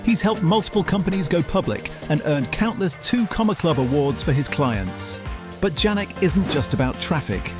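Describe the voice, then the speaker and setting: A man announces a guest in a fairly high voice, with polished, sing-song, dramatic phrasing. Clear music plays under him throughout. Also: lively intonation, moderate pace. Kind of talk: scripted promo introduction.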